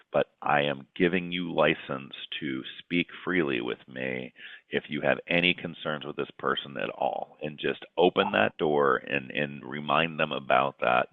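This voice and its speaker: Very deep gravelly man, phone-like sound. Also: no room sound. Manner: halting, many fillers and restarts. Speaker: company president, panel host. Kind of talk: casual panel discussion. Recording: dry, tinny phone-like sound.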